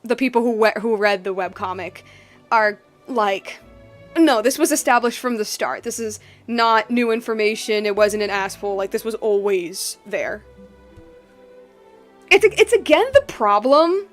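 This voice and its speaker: Woman streaming a game, kinda high voice, chatty and animated, thinking out loud, music behind her. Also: measured pace. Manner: animated, thinking aloud in broken bursts. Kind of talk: casual playthrough commentary.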